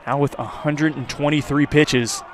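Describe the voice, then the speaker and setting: A man giving live play-by-play baseball commentary for radio, his voice lifting on the action and settling between pitches. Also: steady tone, moderate pace. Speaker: play-by-play announcer. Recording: clear outdoor ambient noise. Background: outdoor ballpark ambience.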